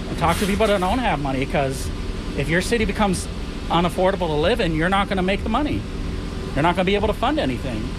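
Guy from florida talking outside, highish voice, noisy cars behind him. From Florida, United States. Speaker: interviewee, local resident. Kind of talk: casual street interview. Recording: noisy outdoor street with traffic.

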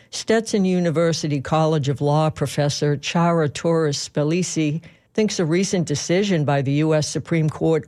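A man's voice: a little high, a little mellow. A man reading scripted news as a radio anchor.

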